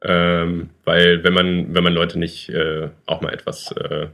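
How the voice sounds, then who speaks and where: A young man with a somewhat low voice talks in a loose, rambling way, stumbling over fillers and restarting sentences as he jokes along. He sounds like a casual co-host chatting with a friend. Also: monotone, takes it slowly, fairly bright tone. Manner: rambling, hesitant, lots of fillers, joking. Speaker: podcast co-host. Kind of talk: casual chat.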